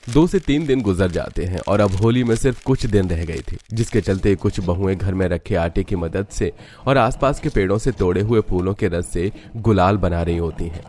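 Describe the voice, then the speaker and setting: Low-voiced man narrating a dramatic story. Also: moderate pace, hardly any pauses, darkish tone, a little hiss or hum. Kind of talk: scripted Hindi cartoon story narration.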